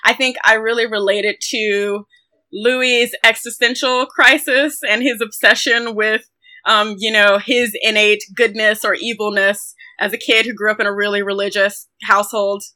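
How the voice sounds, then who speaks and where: A woman's voice: mid-range voice, steady tone, moderate pace, sharp tone. A woman co-hosting a film podcast, talking casually in a chatty, somewhat hesitant way.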